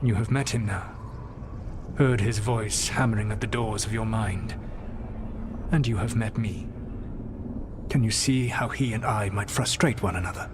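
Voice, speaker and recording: A man performs an ancient, proud elven god for a fantasy game. His voice sits low, with measured, formal phrasing and a weary, regretful weight. A noticeable ambient rumble runs behind him. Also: natural voice. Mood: grave, measured. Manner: deliberate, formal, reflective. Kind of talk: dramatic video game acting.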